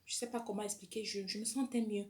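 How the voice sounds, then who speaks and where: A woman's voice: medium pitch, fairly even intonation, quick pace. Earnest woman sharing her story. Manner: earnest, testimonial, with frequent restarts. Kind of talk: personal vlog monologue.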